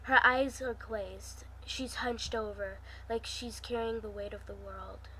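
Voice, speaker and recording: Chatty girl host w a highish voice, casual story reading, kinda playful. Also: steady tone, measured pace, pushed and bright, a little hiss or hum, rough recording. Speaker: channel host reading fan fiction aloud. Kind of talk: casual vlog intro and amateur story reading.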